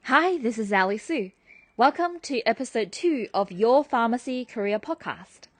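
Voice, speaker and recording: A woman's voice: a little high, lively intonation, moderate pace, dry recording. A woman hosting a podcast interview, reading upbeat scripted introductions and asking questions. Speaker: podcast host.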